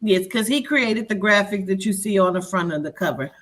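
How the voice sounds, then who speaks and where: A woman's voice: medium pitch, fairly quick, fairly bright tone. A woman guest in a casual interview, chatty and earnest.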